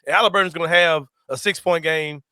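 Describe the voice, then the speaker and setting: A young man hosting a casual sports talk show, animated and slangy and quick to laugh. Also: a little high, clear, resonant tone, no room sound, clean studio sound.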